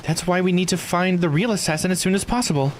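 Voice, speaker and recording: A man voicing a calm, earnest, reassuring young woman, reading dialogue from a video game. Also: high voice, fast talker, a little hiss or hum, voice pushed up, close to an everyday voice. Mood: earnest, concerned.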